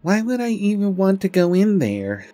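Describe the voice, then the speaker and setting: A woman voicing a stubborn, indignant young unicorn filly, sceptical and sharp, in a cartoon fan-fiction audio drama. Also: medium pitch, big pitch swings, moderate pace, no echo, soft background music, close to an everyday voice.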